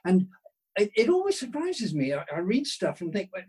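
A British man talks casually as an interview guest. His voice is fairly high for a man and noticeably husky. He rambles through his thoughts in a self-deprecating, discursive way. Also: wildly swooping pitch, moderate pace, darkish tone. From United Kingdom.

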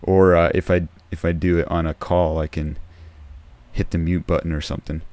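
A man hosting a podcast in a casual, soft-spoken way, with a low, dark voice that tends toward mumbling. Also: flat delivery, normal speed, dry recording. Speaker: podcast host. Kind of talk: casual solo podcast talk. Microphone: close podcast microphone.

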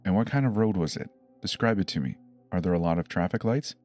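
A man's voice: sits low, fast talker, darkish tone, soft background music, pitched below the performer's own voice, lightly acted. A man playing an emergency dispatcher in a dramatized horror story, reassuring and methodical, probing with short questions. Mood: insistent, probing. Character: calm, insistent adult emergency dispatcher questioning a child.

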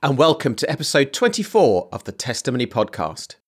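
A man's voice: medium pitch, sing-song, fairly quick, breathy edge, faint music behind. Earnest male podcast host. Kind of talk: scripted intro then casual interview.

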